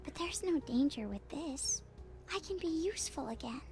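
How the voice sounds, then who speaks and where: An actress voicing a quiet, earnest young woman in a video game, speaking softly in stilted, formal phrases. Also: high voice, lively intonation, normal speed, over music.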